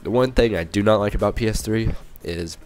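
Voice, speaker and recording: A young man with a cold, hoarse and a little raspy, rambling through casual gameplay commentary with many fillers and restarts. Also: fairly low pitch, a little fast, a little mellow, faint music behind. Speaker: gaming channel commentator.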